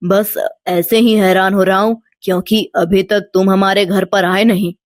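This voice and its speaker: A woman voicing a kind, devout village father with a gentle, humble manner in a storybook tale. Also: medium pitch, little pitch movement, a little slow, no echo, studio quality, pitched below the performer's own voice, natural voice. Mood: humble, friendly.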